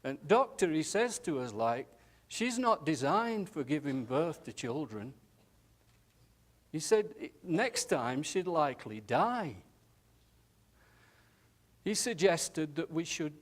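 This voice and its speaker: Tender old widower, played by a man: drawn-out, halting delivery, warm nostalgic chuckles. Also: fairly high pitch, sing-song, full character voice. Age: older. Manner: slow, halting, chuckling, says "aye" often. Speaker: nursing home resident.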